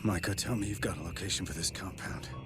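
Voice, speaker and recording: An actor playing a gruff, determined military man and father in a video game, intense and urgent. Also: low voice, fast talker, close to an everyday voice. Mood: urgent, angry. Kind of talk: dramatic video game cutscene acting. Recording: music behind.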